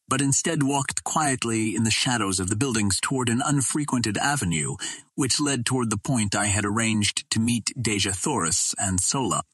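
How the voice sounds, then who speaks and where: A man narrates an old adventure novel in a steady, measured way. His voice sits on the low side and carries a husky grain. The recording is close and dry, with no room sound. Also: dry recording. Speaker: audiobook narrator. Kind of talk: scripted narration.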